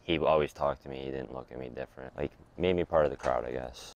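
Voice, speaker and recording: A young man giving a halting, casual interview answer. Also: very deep voice, a little hiss or hum, poor audio. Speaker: interviewee, friend of a victim.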